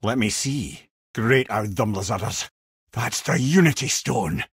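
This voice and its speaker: An actor playing a wry, learned old severed head in a video game, a storyteller and guide with a husky Scottish voice. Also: male voice, medium pitch, unhurried, no echo, studio quality. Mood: musing. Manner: wry, eloquent, theatrical storyteller. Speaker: companion and guide. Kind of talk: video game character dialogue and lore storytelling.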